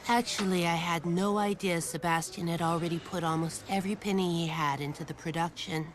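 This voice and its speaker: A woman's voice: lowish voice, fairly even intonation, a little fast, slight background noise, lightly acted. A woman playing a harried, emotional movie star in a naturalistic screen drama. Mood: reflective. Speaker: lead character and narrator.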